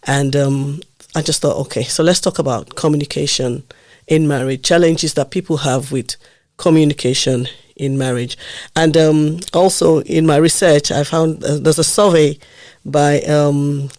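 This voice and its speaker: A man hosting a podcast, a bit nasal from a blocked nose, talking in a measured way with many ums and pauses. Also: fairly high pitch, fairly even intonation, darkish tone, no echo, studio quality. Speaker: podcast host. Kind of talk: casual podcast conversation.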